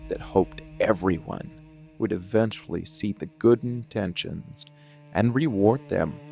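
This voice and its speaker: Man voicing a low, gravelly, brooding villain. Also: exaggerated voice. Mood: grave, steely. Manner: deliberate, with heavy pauses and sighs. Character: brooding, embittered dark-fantasy male villain, cold and unbreakable. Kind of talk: ASMR dramatic villain monologue.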